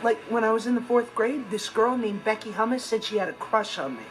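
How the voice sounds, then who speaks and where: A man's voice: high-pitched, a little fast, fairly bright tone, low-quality recording. An actor playing an earnest, awkward teenage boy with an American accent, delivering theatrical comedic monologues. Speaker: sitcom lead. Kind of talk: scripted comedic monologue to camera. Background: music.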